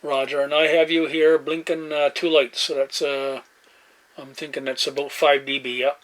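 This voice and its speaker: A man chats casually in a halting, laid-back way, using CB radio jargon like a hobbyist at his desk. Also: a little high, little pitch movement. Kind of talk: casual radio chat and demo narration. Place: indoor desk beside CB radio sets. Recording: noisy room with radio hiss. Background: radio static and room noise.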